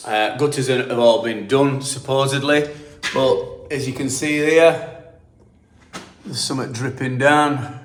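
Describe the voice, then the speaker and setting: A man's voice: medium pitch, fairly even intonation, slow pace, a little hiss or hum. A middle-aged man with a Yorkshire accent giving a casual on-site walkthrough of a damp job, rambling and explaining with lots of fillers and restarts. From Yorkshire, England. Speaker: damp proofing specialist. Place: house being stripped for damp work, indoors. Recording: echoey empty room.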